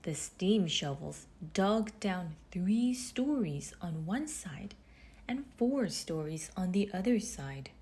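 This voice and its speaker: A woman reading a children's storybook aloud in measured phrases with deliberate pauses, her husky voice turning breathy as phrases end. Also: on the low side, very animated, dark tone, a little hiss or hum. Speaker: storybook reader. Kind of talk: children's read-aloud narration.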